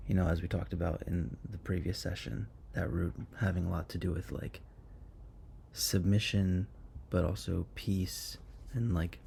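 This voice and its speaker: A man's voice: deep voice, vocal fry, mellow, slight background noise. A man whose first language is Arabic, teaching an informal lesson with many fillers and self-corrections.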